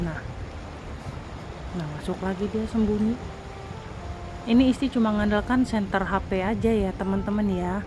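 A woman narrating her own foraging vlog as a voice-over, chatting with viewers as friends. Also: on the low side. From Indonesia. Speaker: vlog host. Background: background music.

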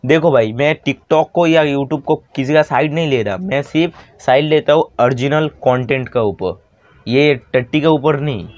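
A man's voice: mid-range voice, moderate pace, a little mellow, no echo, highs cut off. A young man doing a brash, taunting roast commentary to camera, full of swearing. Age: young adult. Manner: brash, taunting, foul-mouthed. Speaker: YouTube roast video host.

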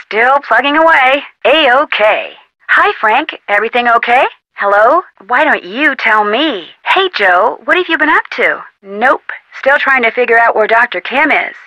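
A woman's voice: fairly high pitch, lively intonation, a little slow, sharp tone, no echo, rough recording. A young woman with an American accent playing a friendly, inquisitive amateur detective in an adventure game, chatty and polite. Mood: casual, friendly. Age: young adult. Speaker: video game character voice actor. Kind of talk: scripted adventure game dialogue, phone-call delivery.

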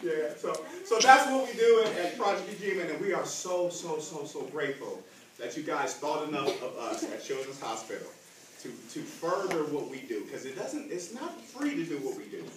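A man's voice: fairly high pitch, normal speed. A middle-aged American man giving a playful, theatrical presentation to children in a classroom. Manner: animated, theatrical. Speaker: presenter at a school event. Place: classroom, indoors, full of children. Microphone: distant camera. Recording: very echoey, noisy classroom, mic far away.

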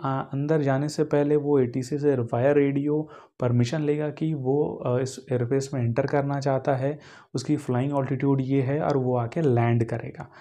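A man's voice: on the high side, dark tone. A man in his twenties with an Indian accent, explaining step by step as an instructor in a tutorial, mixing in English technical terms. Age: 20s. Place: indoor green-screen studio.

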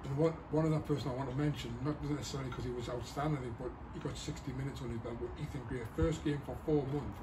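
A man interviewing players and staff after a football match, asking questions in a quick, friendly way. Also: medium pitch, steady tone, far from the mic. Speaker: club media interviewer. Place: football stadium stand, outdoors. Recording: outdoor camera audio with noise.

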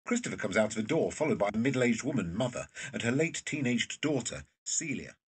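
A man's voice: medium pitch, big pitch swings, a little fast, husky. A man reading scripted stage directions as narrator of an audio drama.

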